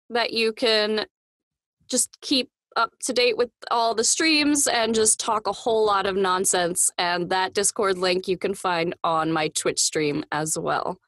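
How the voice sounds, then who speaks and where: A woman's voice: medium pitch, fairly bright tone, no echo. A woman speaking as a podcast guest in casual, playful, informal conversation. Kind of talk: casual chat.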